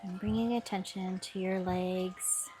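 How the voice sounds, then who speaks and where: A woman guiding a mindfulness body scan meditation with soft, soothing instructions. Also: fairly low pitch, fairly even intonation, a little slow, music in the background. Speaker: meditation guide. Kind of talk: guided meditation.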